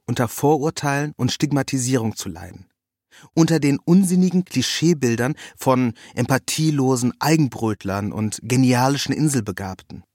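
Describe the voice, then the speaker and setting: A man's voice: medium pitch, darkish tone, no room sound, studio quality. A man reading a scripted first-person literary narrative as its narrator.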